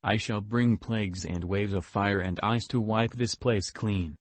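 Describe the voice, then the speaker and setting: A man's voice: lowish voice, fairly even intonation, measured pace, dry recording. A man playing a solemn divine son who pronounces judgement on mankind in a grave, formal, archaic manner. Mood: solemn, stern. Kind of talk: scripted apocalyptic proclamation.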